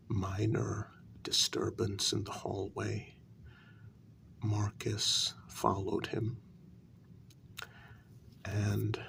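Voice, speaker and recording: The hushed, crackling, very slow voice one would hear at a vampire queen's elbow in an ASMR tale, a deliberate, insinuating interrogator reporting in whispers, acted by a man. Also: on the low side, vocal fry, exaggerated voice. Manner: slow, deliberate, with drawn-out pauses. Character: measured, insinuating male vampire interrogator serving his queen. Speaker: ASMR role-play performer. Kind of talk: soft-spoken ASMR role-play, mostly whispered.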